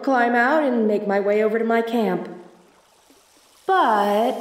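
A woman's voice: medium pitch, a little mellow, lightly acted. Woman acting a theatrical femme fatale, roomy echo. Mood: sultry, teasing. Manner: theatrical, pleading or teasing. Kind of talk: audio roleplay acting addressed to the listener. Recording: roomy echo, distant from mic.

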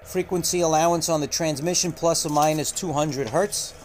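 A man walking through a radio unboxing, talking unhurriedly with frequent filler pauses, recorded indoors at a table on the camera's mic. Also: on the high side, fairly even intonation, slight background noise. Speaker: radio reviewer. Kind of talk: unboxing walkthrough.

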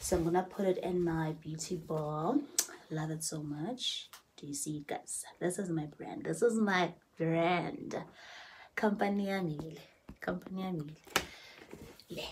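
A woman's voice: on the low side, fairly even intonation, a little slow, a little mellow, a little hiss or hum. A woman, chatting casually through a haul vlog in her bedroom, repeating phrases and slipping into Zulu words. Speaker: vlogger. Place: bedroom, indoors. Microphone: phone camera at arm's length.

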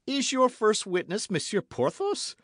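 A man's voice: medium pitch, sing-song, normal speed, dry recording, clean studio sound, voice pushed up. A man voicing an earnest, courteous young Gascon swordsman, bold and eager, in an adventure story. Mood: astonished. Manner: polite, simple, eager.

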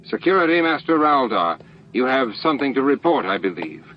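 A man voicing a smooth, authoritative alien leader in an audiobook, formal and commanding. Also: medium pitch, sing-song, fairly quick, voice pushed up, lightly acted. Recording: dull, noisy lo-fi book-on-tape recording.

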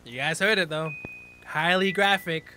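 A man in his twenties giving casual, expressive reaction commentary full of slang, speaking into a desk microphone. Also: a little high, normal speed, noisy background. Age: 20s. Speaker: reaction video host. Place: small bedroom, indoors. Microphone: desk microphone close in front.

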